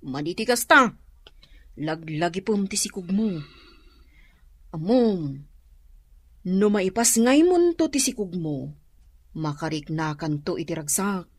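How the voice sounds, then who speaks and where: An actress with an Ilocano accent playing a frank, caring young friend in a radio drama. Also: female voice, on the low side, very animated, takes it slowly, long pauses, breathy edge, no room sound, full character voice.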